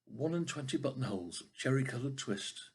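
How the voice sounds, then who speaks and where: Man voicing a husky, mournful old tailor. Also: mid-range voice, animated, darkish tone. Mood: fretful lamenting. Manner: lamenting, drawn-out muttering. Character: poor old tailor, anxious, mournful and muttering.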